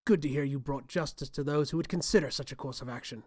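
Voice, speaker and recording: A man's voice: medium pitch, fast talker, a little mellow, dry recording, studio quality, close to an everyday voice. An amateur actor, a man with an Australian or New Zealand accent, playing a grave, loyal guard of a fantasy empire in a game audition. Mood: earnest, grave. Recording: home audition with background bumps and noise.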